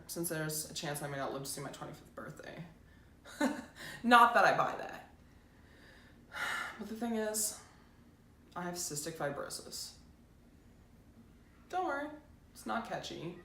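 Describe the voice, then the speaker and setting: A woman's voice: mid-range voice, expressive, normal speed, long pauses, a bit bright, recorded from a distance. A woman performing a stage monologue as a plucky, defiant teenage girl, chatty and emphatic. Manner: chatty, self-dramatizing, emphatic. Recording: roomy echo, faint background noise.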